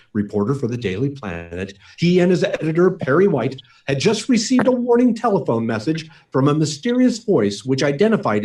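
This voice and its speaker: An actor playing a dramatic announcer narrating a 1940s-style radio serial in a portentous, theatrical manner. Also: male voice, a little high, mellow. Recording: distant mic, live remote performance.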